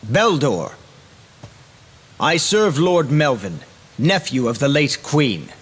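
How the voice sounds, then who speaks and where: A man voicing a cold, loyal, menacing knight in a video game, formal and measured. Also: mid-range voice, very animated, slightly rough, heavily acted. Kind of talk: dramatic video game voice acting.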